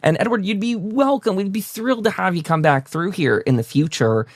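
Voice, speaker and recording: A man's voice: high-pitched, a little fast, no echo. A man hosting a casual interview show, speaking effusively and often stammering over repeated words. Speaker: talk show host.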